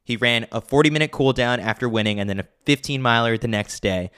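A man's voice: medium pitch, brisk, fairly bright tone, smooth. A man narrating a scripted sports newsletter as a podcast host, with a wry, opinionated way of talking.

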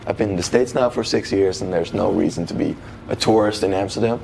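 A low-voiced man with a creaky edge, talking casually as a guest. He tells stories in loose slang, acting out what people said, with some noise behind him. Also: fast. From Netherlands. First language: Dutch. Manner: animated slangy retelling with quoted dialogue and swearing. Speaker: guest, professional dancer. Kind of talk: casual conversational storytelling.

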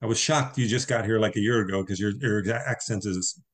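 A man hosting a podcast interview, chatting casually in a rambling, halting way. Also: on the low side. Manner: rambling, halting, with frequent fillers and laughs. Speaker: podcast host.

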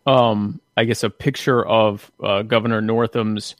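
A man hosting a casual podcast, talking in a wry, jokey and halting way. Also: on the low side, level tone, no echo, clean studio sound. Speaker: podcast host. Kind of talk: casual conversational podcast chat.

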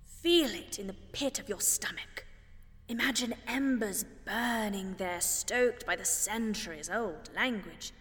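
A woman's voice: mid-range voice, measured pace, fairly bright tone, in a large hall, lightly acted. A woman playing a haughty, exacting grande dame of classical theatre, pompous, precise and scolding. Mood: stern coaching.

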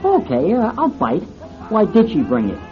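An actor playing the brash, wheedling owner of a seedy go-go club in a classic radio drama, with slangy, excitable hustler talk. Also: male voice, sits high, moderate pace, faint music behind. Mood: scoffing. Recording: muffled old radio drama recording.

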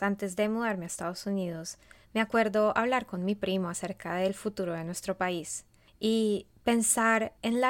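A woman from Colombia with a Colombian accent, a leadership coach hosting a short podcast, reading a calm, reflective monologue that puts coaching questions to the listener. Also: mid-range voice. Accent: Colombian Spanish.

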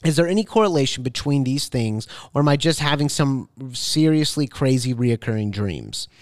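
A man co-hosting a casual podcast, reading listener messages aloud in a playful, expressive way. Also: medium pitch, normal speed, dry recording, clean studio sound. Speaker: podcast co-host.

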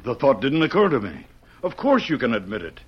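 An actor playing a gentle, worried old mission priest, earnest in an old-time radio western. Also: male voice, medium pitch, big pitch swings, brisk, slightly rough. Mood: distressed. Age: older. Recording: muffled, hissy old radio recording.

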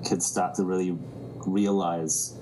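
A musician from New Zealand, a man, speaking reflectively in an interview. He often restarts sentences and fills pauses. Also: sits low, flat delivery, noisy background. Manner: thoughtful, many restarts and fillers. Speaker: musician guest.

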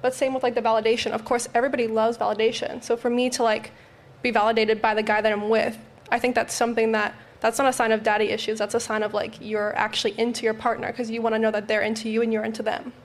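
A woman's voice: on the high side, little pitch movement, fast talker. A woman on a casual podcast panel, talking in a chatty, rambling way and saying "like" often. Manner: chatty, rambling, uses "like" a lot.

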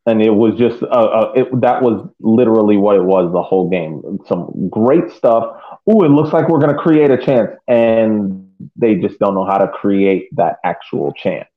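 A man co-hosting a casual sports podcast, thinking aloud haltingly as he analyses play, heard over a tinny phone-like connection. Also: lowish voice. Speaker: podcast co-host.

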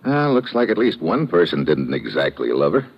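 An actor playing a blunt, skeptical American investigator in an old-time radio drama, terse and probing in a colloquial way. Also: male voice, a bass voice, big pitch swings, normal speed, heavily acted. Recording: muffled vintage radio recording.

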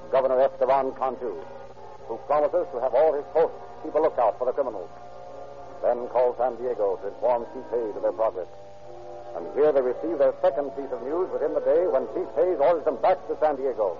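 Man narrating a vintage radio crime drama. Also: mid-range voice. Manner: formal, dramatic announcing. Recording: vintage radio broadcast, dull, music behind.

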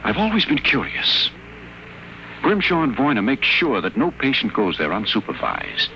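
An actor playing a guarded, secretive addict patient, confiding in low, careful tones, in 1940s radio acting style. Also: male voice, wildly swooping pitch, normal speed, bright, forceful tone, slight background noise, heavily acted, low-quality recording.

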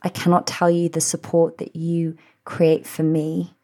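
A woman, hosting a podcast and speaking gently and heartfelt to her listeners. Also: low voice, completely flat delivery, unhurried. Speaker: podcast host. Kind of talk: reflective solo podcast address.

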